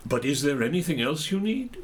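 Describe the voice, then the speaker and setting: Man playing a courtly, avuncular nobleman, expressive. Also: a little high, brisk. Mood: benign, curious. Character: courteous, eminent gentleman, shrewd and avuncular. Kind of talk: audio drama acting.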